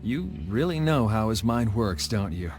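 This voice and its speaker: A man's voice: fairly low pitch, big pitch swings, normal speed. An actor playing a cool, wry young swordsman with a casual, teasing way in a video game. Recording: music under the voice.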